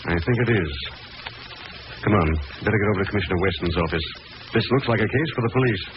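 An actor playing a suave, shrewd amateur sleuth with an American accent and an assured, urbane manner in 1940s radio drama style. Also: male voice, on the low side, expressive, quick pace, natural voice. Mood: intent, brisk. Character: suave, shrewd amateur sleuth, confident man about town. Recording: muffled, noisy vintage radio recording.

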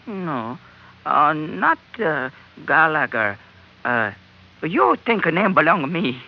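An actor playing a cheerful, sly islander trader who feigns simplicity, speaking coyly in gleeful pidgin in an old-time radio drama. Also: male voice, fairly high pitch, very animated, takes it slowly, gravelly, full character voice. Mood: coy, coaxing. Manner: pidgin speech, gleeful, coy. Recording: dull, lo-fi old radio recording.

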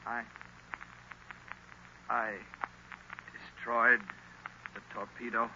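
An actor playing a tormented young male army lieutenant under a spell in a 1930s radio drama, halting, strained and pleading. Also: mid-range voice, flat delivery, sharp tone, full character voice. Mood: dazed, broken. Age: young adult. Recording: very noisy dull 1930s radio recording.

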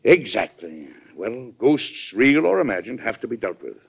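An actor playing a troubled, plainspoken middle-aged American president in an old-time radio drama, speaking deliberately and with emphasis. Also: male voice, medium pitch, sing-song, vocal fry, breathy edge, exaggerated voice. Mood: resolute. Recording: dull vintage radio recording.

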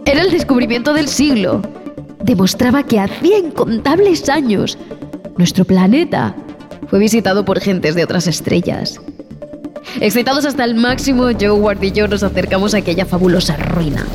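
Female actor as haunted expedition survivor, dramatic delivery, deliberate phrasing, medium pitch, horror radio play, background music. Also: lively intonation, lightly acted. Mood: awed, excited. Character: haunted explorer recounting a terrifying jungle expedition. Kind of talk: dramatized horror audio fiction narration.

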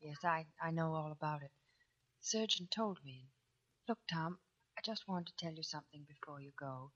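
Low, soft-spoken wounded woman. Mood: wistful. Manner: soft, gentle, halting. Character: tender, wounded woman bidding a sailor farewell. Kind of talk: 1940s radio drama acting. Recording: dull, distant 1940s radio broadcast.

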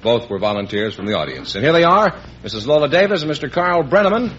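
A man's voice: a little high, very animated, fast talker, fairly bright tone. An American man working as an announcer on an old radio quiz show, speaking in a formal 1950s broadcast style. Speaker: announcer and sidekick. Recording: dull noisy vintage radio, audience behind.

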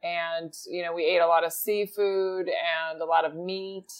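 Woman guest, grew up in spain, lively storyteller, lots of "you know"s. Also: on the low side, unhurried, clear, resonant tone. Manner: animated storyteller, frequent fillers. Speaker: podcast guest. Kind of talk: casual conversational interview.

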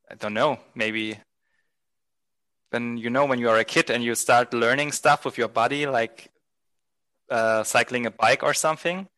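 Guy from a band, bright and smooth voice, rambles a bit with lots of ums. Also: medium pitch. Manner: relaxed, rambling, many fillers. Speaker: band musician. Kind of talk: interview answers.

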